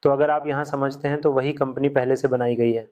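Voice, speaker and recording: Man giving a flat step-by-step lesson. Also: mid-range voice, fairly quick, muffled recording. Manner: step-by-step, repeats key points. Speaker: accounting software tutor. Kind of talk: screen-recorded software lesson.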